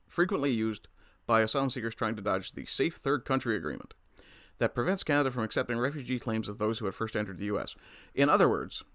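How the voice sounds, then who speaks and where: Male streamer host, blunt and profane, bright forceful tone, dry close mic. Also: mid-range voice, dry recording. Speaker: livestream commentator. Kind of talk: casual political commentary, reading chat.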